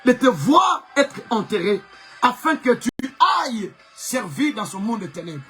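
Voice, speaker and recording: A male Congolese pastor whose first language is Chokwe preaches in French with a strong accent. His voice is strikingly high and sharp, and he keeps shouting and repeating lines for emphasis. Soft music plays faintly behind him. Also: very animated, unhurried. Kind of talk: live-streamed preaching sermon.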